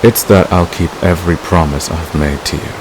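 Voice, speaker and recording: Guy doing a super deep gravelly villain boyfriend, intense and manipulative, romantic asmr roleplay, hissy recording. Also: fairly even intonation, lightly acted. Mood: menacing, possessive. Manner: intense, deliberate, possessive. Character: devoted, manipulative villain, a secret lover. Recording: clear background hiss.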